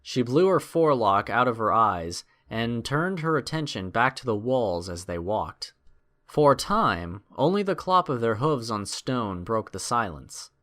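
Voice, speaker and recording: Lively male story narrator. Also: mid-range voice, a little slow. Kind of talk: scripted dramatic story narration.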